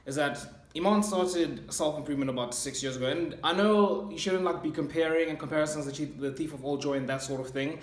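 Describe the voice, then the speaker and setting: A man's voice: a little high, brisk, few pauses, reverberant. A man in his twenties talking earnestly and casually about self-improvement, with many fillers and restarts. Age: 20s. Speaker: self-improvement channel host. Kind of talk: casual motivational monologue.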